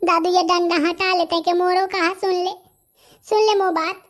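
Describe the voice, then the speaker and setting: A tiny, squeaky male villager pleads his innocence in a comedy cartoon. The voice is extremely high, almost childlike, with a Bundelkhandi accent and a protesting manner. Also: flat delivery, normal speed, a bit bright. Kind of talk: comic cartoon dialogue.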